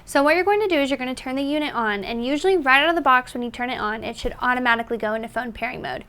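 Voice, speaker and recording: Woman doing a how-to product demo, talks fast, high voice, step by step. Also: a bit bright, clean tone. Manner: instructional, step-by-step. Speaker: product presenter. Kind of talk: tutorial narration.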